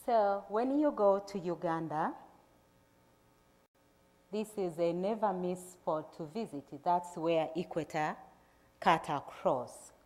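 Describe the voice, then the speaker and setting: Woman, Tanzanian accent, middle-aged, low voice, measured pauses, reverberant hall. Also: a little mellow. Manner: measured, plain, with frequent pauses. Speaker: agricultural researcher giving a talk. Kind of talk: prepared presentation to an audience.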